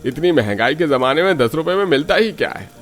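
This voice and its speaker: Give the cartoon customer a high-pitched male voice with very lively swings. He speaks in a colloquial, exclaiming way, like an everyday haggler at a food stall. Music plays behind him. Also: fairly quick. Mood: pleased, curious. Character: haggling, complaining male street-food customer who warms up to good food. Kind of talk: Hindi moral-story cartoon dub.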